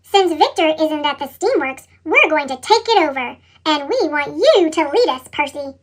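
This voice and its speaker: A woman's voice: lively intonation, normal speed. A woman voicing a bossy, scheming male diesel engine in a children's storybook, with a sped-up chipmunk effect. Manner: booming, sly, forceful.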